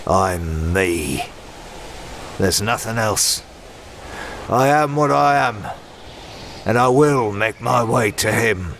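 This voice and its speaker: A man's voice: lowish voice, lively intonation, takes it slowly, creaky, long pauses, noisy background, exaggerated voice. A man playing a brooding, battle-worn swordsman, terse and world-weary, in a dramatic comic dub. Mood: defiant.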